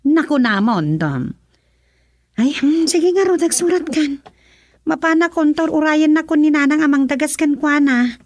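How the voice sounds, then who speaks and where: High-voiced, chatty village wife. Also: female voice, animated, a little slow. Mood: instructing. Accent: Filipino, Ilocano. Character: busy, chatty village wife giving her husband chores. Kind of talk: Ilocano comedy radio drama acting.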